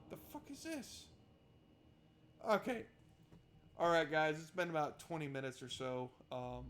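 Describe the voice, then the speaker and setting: A man's voice: a little high, slight background noise. A man doing casual, sweary let's-play commentary, talking to himself and reading game text aloud. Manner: informal, sweary, talks to himself. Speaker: game streamer. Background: game music.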